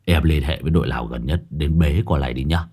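Fields: Figure: man playing a sly young sidekick. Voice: low, mumbled. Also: fast talker, a little mellow, husky. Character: sly young man on the phone, talking fast.

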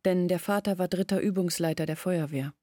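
An actress playing a young village woman in a German radio drama, recalling her family's past in a measured, reflective way. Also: fairly low pitch, warm tone, dry recording, clean studio sound, natural voice. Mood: reflective, earnest. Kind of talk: German radio play acting, reflective storytelling.

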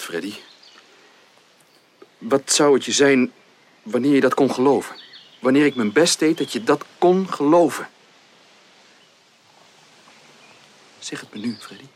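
An actor playing an ardent, easily wounded young gentleman suitor in a radio drama, earnest and hesitant in formal speech. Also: medium pitch, slight background noise, close to an everyday voice. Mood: earnest, urgent. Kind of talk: 1980s Dutch radio drama acting.

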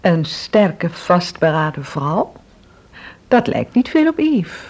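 A woman's voice: on the low side, very animated, slow, darkish tone, heavily acted. A woman playing a spirited, inquisitive confidante in a Dutch radio drama, speaking bluntly and expressively. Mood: frank, probing.

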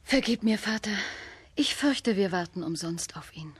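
Young tsar's daughter, radio play, mid-pitched, proud, restrained, formal. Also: female voice, expressive, normal speed, slightly rough. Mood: sad, resigned. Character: beautiful young tsar's daughter, patient but despairing, proud. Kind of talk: radio play acting for children.

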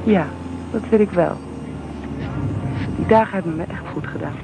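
An actress playing a wry, reserved but warm young mother in a Dutch radio drama. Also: fairly low pitch, moderate pace, gravelly. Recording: loud vehicle noise behind voice.

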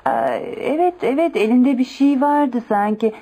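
An actress playing a curious, chatty mistress answering a police inspector in a radio play. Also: female voice, high-pitched, animated, measured pace, dark tone, slightly rough, full character voice. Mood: curious, pondering. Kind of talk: Turkish radio theatre acting.

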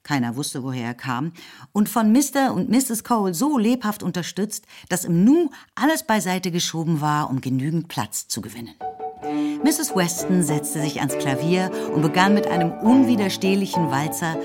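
A woman narrating a radio drama in a measured, literary storytelling manner. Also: lowish voice, sing-song. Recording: studio voice over loud music.

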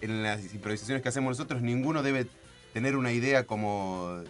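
A man's voice: medium pitch, fairly quick, faint music behind. A man talking casually as an improv performer and radio guest. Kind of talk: casual radio interview chat.

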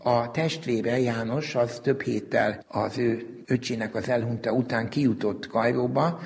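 A man's voice: medium pitch, steady tone, vocal fry, darkish tone. A man giving detailed, formal and precise explanations as a researcher in an interview. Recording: long reverberant room.

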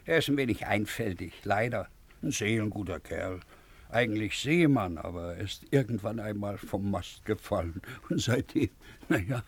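Radio drama: small-town innkeeper, low and slightly husky, gossipy, a touch superior. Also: male voice, lively intonation, moderate pace. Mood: confiding. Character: gossipy male innkeeper, condescending to underlings. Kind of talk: German radio play acting.

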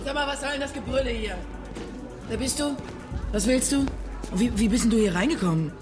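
A woman's voice: on the high side, a little fast, over music, natural voice. A woman playing a brusque, sarcastic resident of a walled commune, colloquial and challenging, in a naturalistic radio drama.

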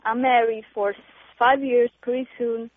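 A woman's voice: a little high, level tone, measured pace, dry recording. A woman in her twenties with a Romanian accent, calling in to a radio show, speaking earnestly and firmly. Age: 20s. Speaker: caller. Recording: dry, tinny phone line.

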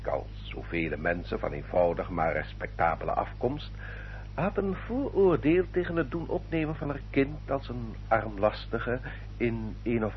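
An actor playing a courteous, self-justifying English baronet in a radio drama, with a formal, polished and elaborately polite manner. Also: male voice, mid-range voice. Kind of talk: old radio drama acting. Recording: dull old radio recording, faint hum.

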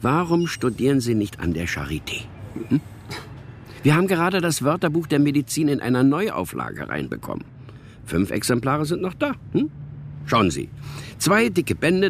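An actor playing a wily East German bookshop salesman in a radio drama, gruff, with sly, swooping sales patter. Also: mid-range voice, slight background noise. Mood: eager selling.

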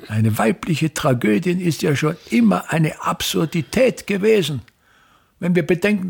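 Raspy, pompous theatre impresario. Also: male voice, fairly high pitch, exaggerated voice. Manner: declamatory, repetitive, querulous tirades with emphatic pauses. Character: pompous, embittered ageing male theatre impresario ranting at everything. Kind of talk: German-language radio play acting.

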